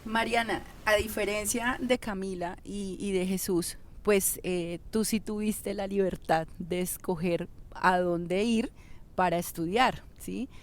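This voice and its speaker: A woman's voice: medium pitch, steady tone, takes it slowly. A woman hosting a podcast, asking questions in a friendly, conversational way. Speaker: podcast host. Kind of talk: interview questions.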